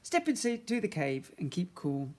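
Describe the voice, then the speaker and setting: A man's voice: sits high, very animated, measured pace, darkish tone. A man voicing a mysterious, polite shopkeeper in a children's story, courteous and reassuring. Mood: polite, advising. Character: mysterious, polite male shopkeeper giving calm advice.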